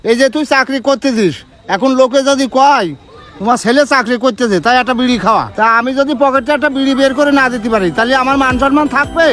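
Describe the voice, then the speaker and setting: A man's voice: very high-pitched, quick pace, a bit bright. A man playing a stubborn, defensive old father with a rural Bangladeshi accent in an amateur drama. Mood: defiant. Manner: argumentative, earthy. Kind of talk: amateur Bengali short drama acting.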